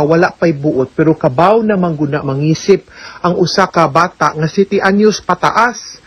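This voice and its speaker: A middle-aged woman lawyer speaks in a husky, slightly breathy voice, close to the mic in a dry, deadened room. She explains each point patiently and methodically. She keeps checking in with the listener as she answers a letter on air. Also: fairly low pitch, no room sound, rough recording. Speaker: lawyer giving legal advice on radio. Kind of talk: radio legal advice, answering a listener's letter.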